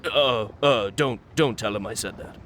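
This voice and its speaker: A man's voice: lowish voice, moderate pace, fairly bright tone, slight background noise, lightly acted. A man playing an ordinary fishmonger in a video game, chatty and considerate with a slightly sheepish manner. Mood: sheepish, sympathetic. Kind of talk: video game character dialogue.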